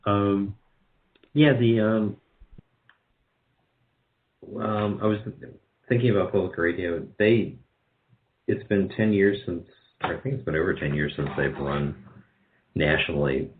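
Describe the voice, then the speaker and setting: Low-pitched man, dull lo-fi interview audio. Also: a little hiss or hum. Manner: halting, rambling, self-correcting. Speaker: guest, radio drama producer. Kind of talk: casual interview talk.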